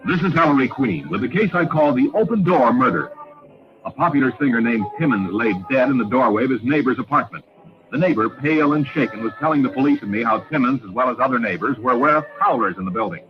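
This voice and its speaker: An actor playing a self-assured detective who narrates a mystery in a measured, dramatic old-time radio style. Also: male voice, mid-range voice, expressive, natural voice. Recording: muffled old radio recording with music.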